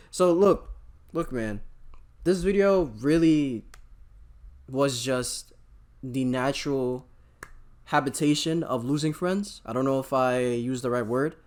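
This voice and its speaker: A man hosting a reaction video, teasing and joking in rapid-fire banter. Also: medium pitch, darkish tone. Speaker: reaction host.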